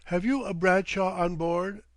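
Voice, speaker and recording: A man voicing a polite but irritable young American gentleman in an audiobook, formal, flustered and curt. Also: sits high, a little slow, dry recording, voice pushed up. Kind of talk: audiobook character voice.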